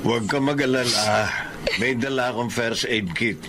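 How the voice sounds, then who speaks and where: A man's voice: medium pitch, normal speed, noisy background, natural voice. An actor playing a practical male friend who drives and speaks with firm reassurance in a radio drama. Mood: reassuring. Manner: firm, reassuring, a bit flustered.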